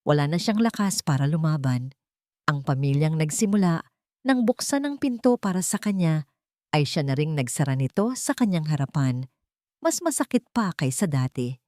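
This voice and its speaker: A Filipino woman reads a sentimental family drama as a scripted narration. Her voice sits a little low, and the sound is dry, with very little room around it. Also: big pitch swings, normal speed, darkish tone, no room sound. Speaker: story narrator.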